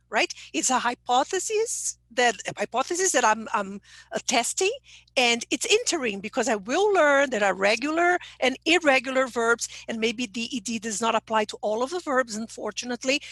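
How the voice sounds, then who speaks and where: High-voiced woman teacher trainer. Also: lively intonation, moderate pace, a bit bright, no room sound. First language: Portuguese. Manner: explanatory, checks in with okay. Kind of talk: online presentation.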